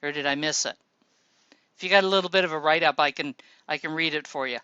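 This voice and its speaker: Bright, crisp man hosting a voice chat. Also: fairly high pitch, quick pace, no echo, dull audio. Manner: relaxed, helpful, reads posts aloud. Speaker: show host. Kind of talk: casual chat on a voice call.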